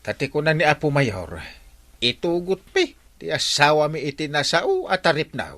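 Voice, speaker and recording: An actor with an Ilocano accent playing an exasperated, scolding village captain in a radio comedy drama, excitable and full of exclamations. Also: male voice, on the high side, normal speed. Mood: insistent.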